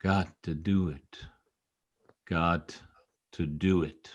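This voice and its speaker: A man in an old-time radio play, acting a protective, brusque young state trooper with a gruff, commanding manner. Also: deep voice, steady tone, drawn-out words, highs cut off, heavily acted. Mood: entranced, dazed. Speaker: amateur radio play actor. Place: home, over a video call.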